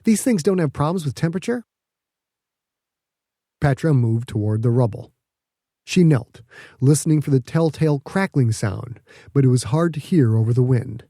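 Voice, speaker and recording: A man narrates an audiobook story in a mellow, relaxed voice at a middle pitch. The sound is dry, and he delivers the prose as scripted fiction. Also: moderate pace, vocal fry, no echo.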